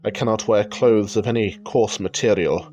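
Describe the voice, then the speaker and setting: An actor playing an ailing, anxious gentleman in a period radio drama, speaking formally and with melancholy. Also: fairly low pitch, fairly even intonation, normal speed, lightly acted. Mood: resigned, afflicted. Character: ailing, anxious gentleman, gracious but morbidly gloomy.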